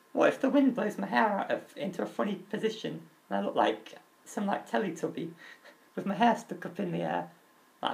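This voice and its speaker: A young man with a crackly, high-pitched voice, talking openly and earnestly about himself, with halting restarts. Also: vocal fry. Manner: open and earnest, halting restarts, nervous laughs. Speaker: vlogger. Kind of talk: candid personal talk.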